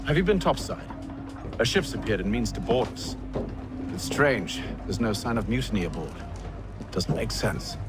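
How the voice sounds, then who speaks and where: An actor playing a cool, shrewd and defiant adventurer travelling by ship in a video game cutscene. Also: male voice, mid-range voice, very animated, moderate pace, pauses often, over music, close to an everyday voice. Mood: puzzled, concerned.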